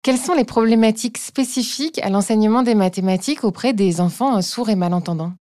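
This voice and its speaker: A woman hosting a podcast, reading a scripted introduction and asking calm, even interview questions. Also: mid-range voice, fast, no room sound, clean studio sound. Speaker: podcast host.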